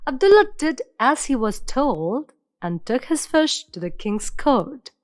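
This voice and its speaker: A woman narrating an animated fairy tale in an expressive, storybook way. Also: high-pitched, a little slow, no room sound, studio quality.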